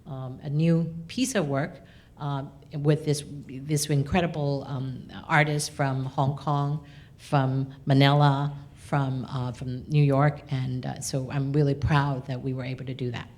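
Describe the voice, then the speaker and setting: A woman speaking unscripted at a panel event as an arts organisation leader, in a measured, halting way. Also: rumbling low, little pitch movement, darkish tone.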